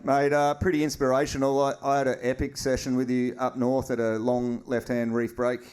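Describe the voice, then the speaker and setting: A man's voice: medium pitch, flat delivery, a little fast, a little mellow, smooth. A man hosting a live podcast, chatty and teasing in slangy banter. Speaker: podcast host.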